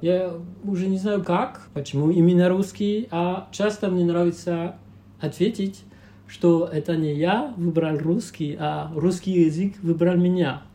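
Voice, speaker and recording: A young Belgian man whose first language is French, speaking Russian with a French-speaking Belgian accent, pausing often to search for words as an interview guest. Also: high-pitched, steady tone, warm tone. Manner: hesitant, searching for words with many fillers. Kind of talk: casual interview talk.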